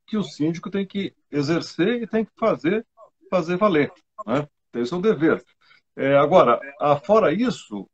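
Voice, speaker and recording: A man's voice: a little high, breathy edge, muffled recording. A Brazilian man, a lawyer guest on a radio show, explaining legal points conversationally with anecdotes and tag questions.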